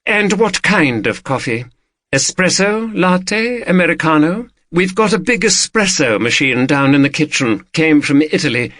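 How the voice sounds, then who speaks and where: A man's voice: fairly high pitch, sing-song, measured pace, a bit bright, no room sound, pitched above the performer's own voice. Guy doing a posh rich lady collector, haughty but gracious, voice lifted and swooping around, storybook style. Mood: brisk, hospitable. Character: wealthy, haughty woman collector, gracious and discreet.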